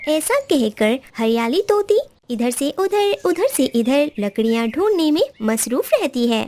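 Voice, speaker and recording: A woman reads scripted narration for a children's story. Her voice is fairly high, with lively pitch movement. Faint noise runs through the recording. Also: measured pace.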